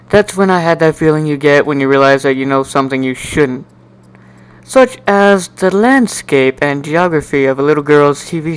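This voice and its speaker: A man giving an amateur dramatic reading of a first-person story in an animated, wry, sardonic way. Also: a little high, smooth. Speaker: amateur narrator.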